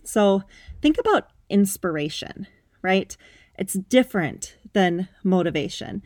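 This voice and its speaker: A woman's voice: mid-range voice, expressive, a little slow, darkish tone, no echo, studio quality. A woman in her thirties hosting a podcast, giving an upbeat, emphatic motivational talk in a conversational way. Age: 30s. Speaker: podcast host.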